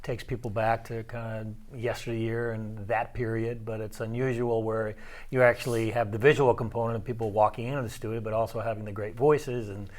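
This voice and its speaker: A man's voice: fairly low pitch, little pitch movement, fairly quick. A man from community theater chatting casually as an interview guest.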